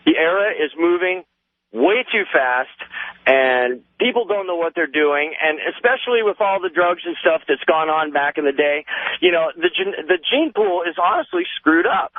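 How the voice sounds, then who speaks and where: An American man in his thirties with a fairly high voice speaks over a narrow, dry phone line to a radio show. He rambles earnestly, halting and repeating words as he goes. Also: sing-song, no echo. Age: 30s. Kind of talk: rambling call-in opinion.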